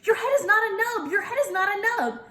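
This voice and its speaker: Woman as an excitable little girl, high and gushing. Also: a little fast, echoey room, a little hiss or hum, heavily acted. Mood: excited. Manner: childish, repeating, eager pleading. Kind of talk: children's storybook character voice.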